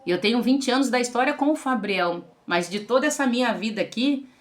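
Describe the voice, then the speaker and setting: A woman's voice: on the high side, expressive, fairly quick. A Brazilian woman in her forties, a pastor, giving an earnest religious testimony to the camera and addressing listeners as brothers and sisters. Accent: Brazilian Portuguese. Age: 40s.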